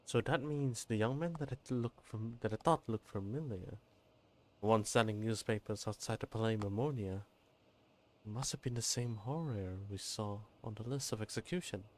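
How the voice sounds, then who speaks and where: A man's voice: lowish voice, moderate pace. A man streaming a game, giving casual commentary on the story as he follows it.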